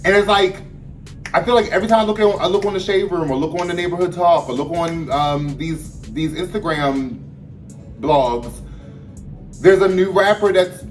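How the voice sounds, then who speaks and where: A man's voice: high-pitched, normal speed. A man from Baltimore with a Baltimore accent, co-hosting a podcast in casual, chatty talk that keeps correcting itself. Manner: animated, chatty, self-correcting. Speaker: podcast co-host. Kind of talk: casual chat. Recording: echoey room, distant mic, faint music.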